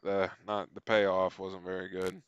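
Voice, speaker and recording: A man's voice: sits low, completely flat delivery, unhurried, smooth, no echo, dull audio. A man hosting a reaction video, commenting casually and bluntly, with some swearing.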